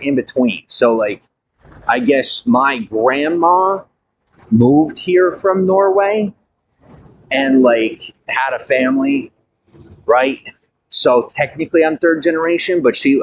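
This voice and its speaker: A man's voice: mid-range voice, a little hiss or hum, poor audio. An American man with a slight Norwegian-tinged accent, rambling casually with many fillers and restarts. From United States. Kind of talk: casual monologue.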